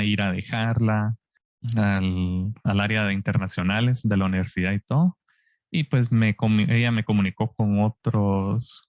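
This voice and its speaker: A man in his thirties from Guatemala with a Guatemalan Spanish accent, telling his story in a relaxed, chatty interview with frequent tag questions. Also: on the low side, no room sound. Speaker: guest scientist. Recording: dull lo-fi dry podcast audio.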